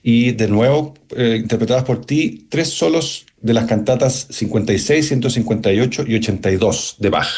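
A man's voice: mid-range voice, moderate pace, few pauses, a little mellow. A man hosting a radio interview, introducing the music with brief, easy remarks. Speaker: radio host.

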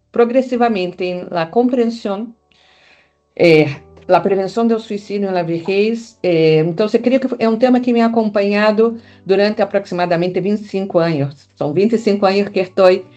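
A woman's voice: mid-range voice, unhurried, mellow, lo-fi sound, soft background music. A middle-aged woman from Brazil with a Brazilian Portuguese accent, a psychologist answering interview questions in a reflective manner with many hesitant fillers. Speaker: psychologist, foundation founder.

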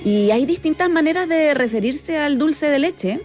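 A woman's voice: sits high, lively intonation, moderate pace, a little mellow. A woman with a Chilean accent presenting a chatty live radio show, speaking directly to listeners and her colleague in a conversational way. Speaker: radio host. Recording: music bed behind studio radio voice. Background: background music.

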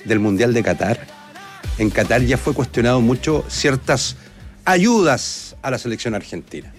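A man's voice: mid-range voice, lively intonation, moderate pace, soft background music. A man on a football panel, chiming in with short remarks and agreement. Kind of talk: casual sports chat.

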